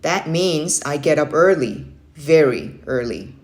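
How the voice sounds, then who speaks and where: A woman's voice: sits low, expressive, slow, darkish tone. A woman voicing a stern, motivating young Navy uncle in a children's story read-aloud, firm and emphatic. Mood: firm, matter-of-fact.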